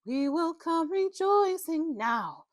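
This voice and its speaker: A devout woman out for a night stroll sings a hymn in a high, strong voice, acted out by a woman narrator. The notes are slow and drawn out, with a quaver at the top. It is an audiobook character, recorded dry. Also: big pitch swings, no echo, full character voice. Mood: devout singing.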